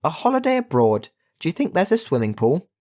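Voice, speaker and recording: Cheeky young girl voiced by a male narrator for children's audiobook: bold, quippy, exclaiming, raised pitch. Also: very animated, takes it slowly. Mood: eager.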